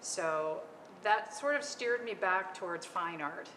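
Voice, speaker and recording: A middle-aged woman with a low voice speaks hesitantly, filling her pauses with ums. She is on a live panel in an art gallery, and her voice rings with a long reverb over a clear hum of noise. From California. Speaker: panel artist. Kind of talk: unscripted panel talk.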